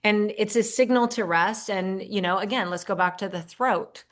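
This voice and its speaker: A woman's voice: mid-range voice, normal speed. A middle-aged woman talking earnestly in a casual podcast conversation, often restarting her sentences. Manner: earnest, talks in affirmations, frequent restarts. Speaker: podcast guest and host of her own show.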